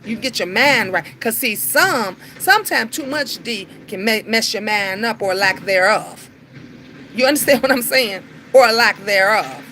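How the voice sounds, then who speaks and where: A woman's voice: fairly high pitch, animated, bright, forceful tone, slight background noise. Emphatic woman ranting on a livestream. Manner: emphatic, repeats lines, drawn-out filler sounds. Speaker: livestream host. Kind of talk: casual livestream rant.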